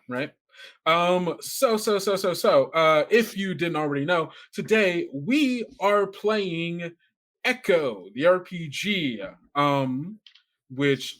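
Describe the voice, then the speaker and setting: Guy hosting an actual-play game stream, mid-pitched voice, rambly and casual, trips over words a bit. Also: fairly bright tone. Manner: rambling, stammering, often chuckling. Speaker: host and game master of an actual-play podcast. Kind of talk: casual chat introducing a tabletop game.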